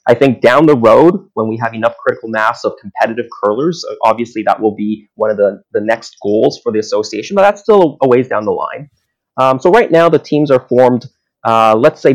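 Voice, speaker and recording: A man's voice: medium pitch, moderate pace. A man from Hong Kong, in his thirties, talking casually as an interview guest, with many fillers and restarts. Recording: dull lo-fi audio.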